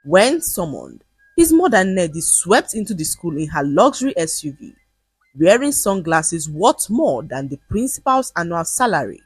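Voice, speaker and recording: A woman storyteller delivering scripted, dramatic folktale narration in an expressive way. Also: fairly low pitch, normal speed, slightly rough, no room sound.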